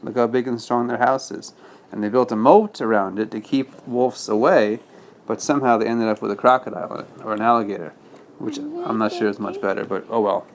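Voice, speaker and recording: A man's voice: medium pitch, animated, fairly quick, a little hiss or hum. A man reading a bedtime story as a father to his child, patiently explaining in simple words with check-in questions.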